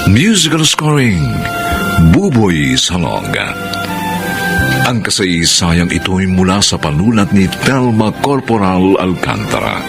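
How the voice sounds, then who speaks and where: A man's voice: sits low, gravelly. A man with a Filipino accent giving solemn, dramatic scripted narration as host of a radio drama.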